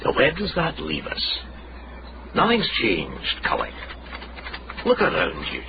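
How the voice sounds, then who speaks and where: An actor playing a haughty old lord in a period radio drama, older, threatening and stern. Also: male voice, medium pitch, huge pitch swings, exaggerated voice. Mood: menacing. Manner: slow, threatening. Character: menacing, haughty old male Scottish judge and lord. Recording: muffled, noisy old radio drama recording.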